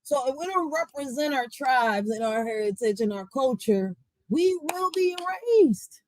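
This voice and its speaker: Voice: high voice, animated, normal speed, low-quality recording. A vlogger from the United States giving casual commentary straight to viewers, preaching and urging the audience.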